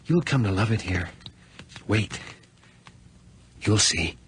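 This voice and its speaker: An actor playing a lonely, eerie young man of the woods, terse and quietly persuasive, in a horror radio drama. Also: lowish voice, expressive, takes it slowly. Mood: quietly insistent. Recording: noisy radio drama recording with clicks.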